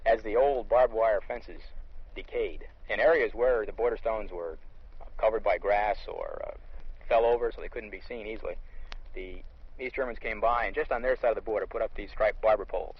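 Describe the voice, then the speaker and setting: A man's voice: fairly low pitch, normal speed, husky. An American man, an army lieutenant, explaining things matter-of-factly in an interview outdoors in the field. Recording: dull lo-fi vintage field recording.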